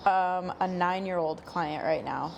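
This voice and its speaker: Articulate young woman with a lowish voice. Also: a little slow, creaky, highs cut off, noisy background. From Minnesota, United States. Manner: articulate, illustrates with quoted examples. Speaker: guest, sports psychology coach. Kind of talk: explanatory interview answers.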